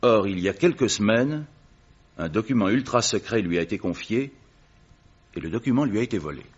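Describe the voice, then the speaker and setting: A worried pleading guy, husky, voice swings up and down a lot, muffled old recording. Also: fairly low pitch, expressive, brisk. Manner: earnest, emotional and pleading. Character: anxious, pleading man asking help for his brother. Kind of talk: vintage radio drama acting. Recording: dull old radio recording.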